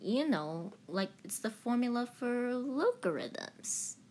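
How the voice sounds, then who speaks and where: A woman playing a chirpy, fatherly, pun-loving man in a comic dub, playful and gently chiding. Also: a little high, very animated, takes it slowly, slight background noise, pitched above the performer's own voice. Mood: teasing. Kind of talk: fan comic dub reading.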